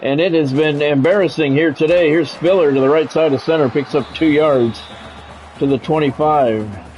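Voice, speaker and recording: A man's voice: a little high, moderate pace, darkish tone, soft background music. A man doing live play-by-play commentary over a football video game, in terse announcer phrases with candid asides. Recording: dull, lo-fi mic with faint background noise.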